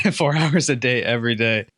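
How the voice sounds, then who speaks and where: A man's voice: medium pitch, huge pitch swings, moderate pace, a bit bright, no room sound, clean studio sound. A man hosting a podcast, chatting casually and encouragingly with his guest in an upbeat interview. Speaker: podcast host.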